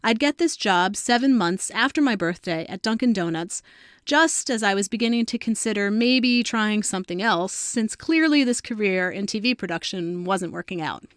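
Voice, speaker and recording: A woman's voice: medium pitch, lively intonation, normal speed, smooth, no echo, studio quality. A woman of about forty reading her own scripted personal essay as a narrator. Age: around 40.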